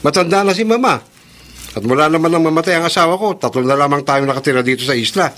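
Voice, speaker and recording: Fast, high-pitched bossy scientist. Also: male voice, animated, fairly bright tone, a little hiss or hum. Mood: stern, urgent. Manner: curt, commanding, urgent. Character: secretive, domineering scientist, grown son. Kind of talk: radio drama acting.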